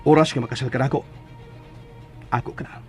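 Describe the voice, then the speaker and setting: An actor playing a smug, possessive hacienda heir in a Filipino radio drama, domineering and full of drawn-out taunts. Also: male voice, mid-range voice, steady tone, darkish tone, close to an everyday voice. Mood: smug, threatening. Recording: music bed behind.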